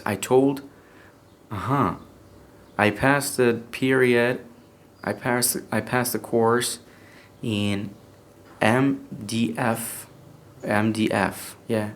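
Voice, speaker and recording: Slow Iranian-accented man, halting. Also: fairly low pitch, fairly bright tone, slight background noise. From Iran. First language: Persian. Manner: halting, hesitant English with many restarts and fillers. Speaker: podcast speaker. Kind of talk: casual personal story.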